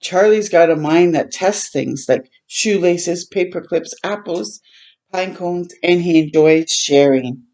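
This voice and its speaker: A woman reads a picture book to children as a storytime host. Her voice sits a little low and has a very mellow, relaxed tone. She is gentle and expressive, adding tender asides to her listeners. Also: slow pace. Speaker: children's read-aloud host.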